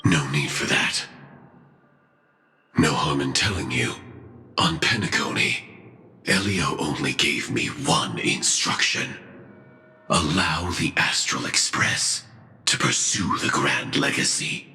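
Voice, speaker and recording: A man voicing a cold, guarded armored mech hunter in a video game, terse and deliberate, through a metallic helmet filter. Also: rumbling low, animated, bright, forceful tone, heavily acted. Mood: terse, ominous. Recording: echoing processed voice over music.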